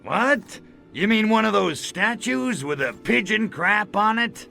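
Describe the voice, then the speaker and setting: A man voicing a cocky, wisecracking little alien invader in a video game, sardonic and slangy with a gravelly voice. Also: sits high, animated, unhurried, pushed and bright, faint music behind, heavily acted. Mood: incredulous. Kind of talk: video game cutscene acting.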